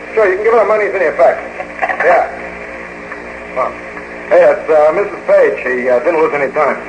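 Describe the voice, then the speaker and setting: A man's voice: fairly high pitch, noisy background, close to an everyday voice. An actor playing a casual, callous man with an offhand manner in a 1940s radio drama, on an old recording. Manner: offhand, colloquial. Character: casual, callous male acquaintance of a detective.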